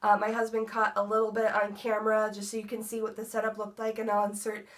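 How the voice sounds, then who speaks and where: A woman's voice: medium pitch, completely flat delivery, fast. A young woman vlogging a casual update, her voice congested from a cold, chatty with many ums and likes. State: congested, stuffy nose. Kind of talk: casual pregnancy update vlog.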